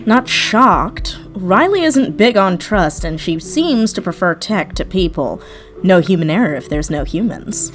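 A woman's voice: on the low side, lively intonation, measured pace, soft background music. A woman playing a confident hacker in an audio drama, speaking casually with assured, wry delivery. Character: confident, wry female hacker on a heist crew.

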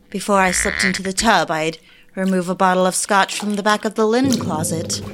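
An actress playing an anguished, bitter 1950s housewife who confides in deliberate, literary phrases, as in a dramatic audio drama narration. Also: female voice, mid-range voice, close to an everyday voice. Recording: music behind the voice. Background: music.

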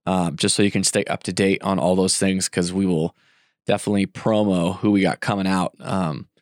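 A man's voice: low-pitched, level tone, moderate pace, dry recording, studio quality. A congested man hosting a casual podcast, rambling with fillers and restarts. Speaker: podcast host.

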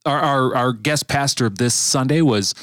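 A man hosting a church podcast in casual chat, with a deep radio voice he works close to the mic. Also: a little slow, a bit bright, no room sound. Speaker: podcast host, church media maker and bass player.

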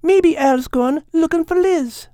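A man's voice: extremely high pitch, slow pace, warm tone, dry recording, studio quality, pitched above the performer's own voice. A man voicing a friendly, caring little seagull for an audiobook. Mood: helpful. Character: friendly, caring Scottish seagull, small storybook bird. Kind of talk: animated audiobook character voice.

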